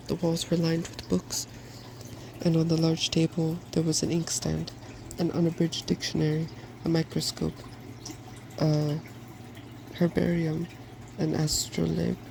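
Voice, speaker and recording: A soft-spoken man reading a fairy tale aloud in ASMR style, in a gentle, measured storytelling manner. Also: on the high side, monotone, creaky, a little hiss or hum, rough recording. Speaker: storybook reader.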